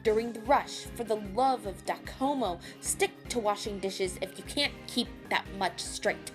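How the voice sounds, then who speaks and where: A woman playing a brash, hot-tempered chef in an audio drama, gruff and sarcastic, cursing mildly in food words. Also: mid-range voice, unhurried, close to an everyday voice. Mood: irritated. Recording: music behind the voice.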